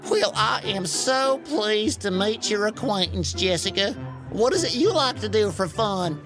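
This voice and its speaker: A kindly little boy dump truck from a storybook, played by a woman, pitched well up and very lively. He talks in a folksy, courtly, earnest way, protective and polite. Music sits clearly behind the voice. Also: unhurried, lightly acted. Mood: courtly, friendly.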